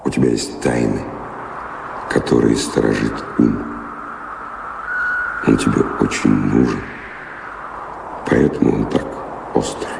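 An actor playing a weary, wistful wanderer and philosopher in a radio drama, musing deliberately with pauses. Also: male voice, rumbling low, steady tone, creaky, noisy background, full character voice. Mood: wistful, intimate. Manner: musing, deliberate, pausing storyteller. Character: weary wandering man revisiting his old love, wistful philosopher. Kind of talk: theatrical radio drama acting. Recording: echoey hall reverb, music underneath.

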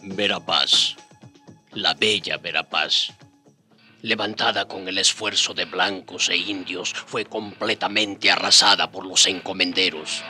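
A solemn survivor narrating a radio drama. His voice is deep and forceful, with music underneath. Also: fairly even intonation, unhurried, full character voice. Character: solemn survivor recounting a town's destruction.

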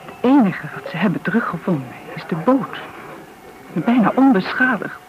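An actress playing a composed, apologetic widow, speaking with restraint and some hesitation in a naturalistic style. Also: female voice, medium pitch, animated, normal speed, noisy background, natural voice. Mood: sorrowful, restrained. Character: composed, apologetic young-sounding widow greeting old friend. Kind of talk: naturalistic radio play acting. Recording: dull radio recording, background crowd bustle.

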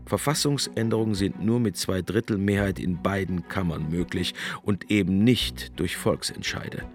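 A man narrating scripted documentary commentary in a measured, reflective way. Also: sits low, soft background music. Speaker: narrator, essayist's reader.

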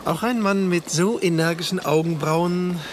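A man plays an earnest young lawyer in a radio play. His voice sits fairly high and sounds troubled. Outdoor ambience with birds is clearly audible behind him. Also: moderate pace, background noise, natural voice. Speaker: actor in radio drama. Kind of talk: German radio play acting.

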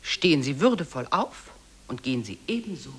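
A woman with a husky voice giving a scripted, precise and emphatic instructional narration in a radio play. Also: sits low, very animated, fairly quick. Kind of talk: scripted second-person narration.

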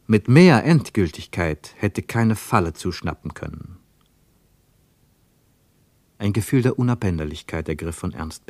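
A man narrating a literary radio travelogue in a measured way, with dramatic weight on single words. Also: medium pitch, no room sound.

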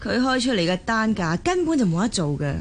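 A woman acting a busy working mother in a radio drama, with a Hong Kong Cantonese accent, expressive, colloquial and easily exasperated. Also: fairly high pitch, fairly quick. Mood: chatty, weary.

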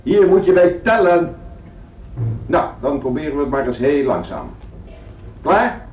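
Casting: self-assured, commanding music-school conductor for radio drama, high-pitched voice in a reverberant hall. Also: male voice, very animated, dull audio, slight background noise. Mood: exasperated, commanding. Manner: brisk, commanding, addressing a group. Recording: echoey hall, music behind.

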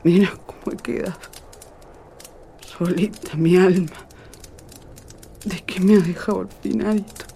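A woman's voice: on the low side, fairly even intonation, measured pace, creaky, pauses often, dark tone, a little hiss or hum. A woman playing a sorrowful, wise old grandmother in a radio drama, plaintive and folksy, with a rural northwestern Argentine accent. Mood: lamenting.

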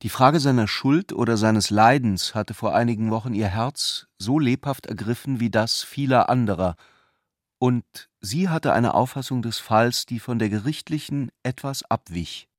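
A man's voice: medium pitch, normal speed, no room sound, studio quality. A man narrating a literary radio drama from a script.

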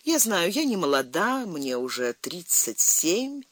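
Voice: mid-range voice, big pitch swings, unhurried, fairly bright tone. A narrator voicing an anxious, jealous middle-aged princess in an audiobook, pleading and insistent. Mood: bitter, jealous.

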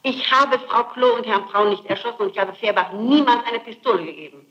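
A woman's voice: fairly high pitch, a little fast, fairly bright tone. A woman answering as an accused suspect under interrogation, insistent and emphatic, repeating her denials. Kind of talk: interrogation testimony. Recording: muffled echoey archival interrogation tape.

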